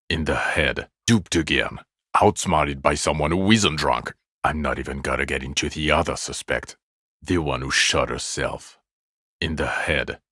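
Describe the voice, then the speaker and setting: An actor voicing a bitter, exasperated police detective in a video game, with scornful, biting sarcasm. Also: male voice, rumbling low, pushed and bright, dry recording, clean studio sound, full character voice.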